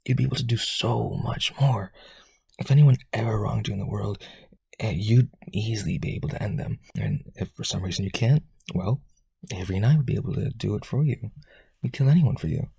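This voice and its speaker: Guy doing a rich yandere vampire for audio rp, super husky and creaky, desperate, devoted, coaxing, mic close and dry. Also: fairly low pitch, warm tone, dry recording, exaggerated voice. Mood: boastful, coaxing. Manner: intense, coaxing, begging, halting. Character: Skylar, powerful rich male vampire aristocrat, desperately devoted. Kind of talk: roleplay audio acting.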